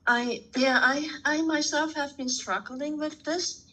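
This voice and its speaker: Emphatic bright woman in her sixties. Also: high-pitched, fairly even intonation, slow pace, rough recording. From Hong Kong. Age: 60s. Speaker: professor. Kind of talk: academic seminar discussion.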